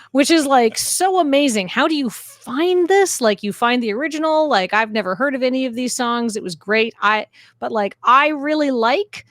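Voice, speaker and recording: A woman's voice: fairly high pitch, no echo, studio quality. A woman co-hosting a podcast, chatting casually with lots of "like" fillers and self-deprecating asides. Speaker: podcast co-host. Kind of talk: casual recap chat.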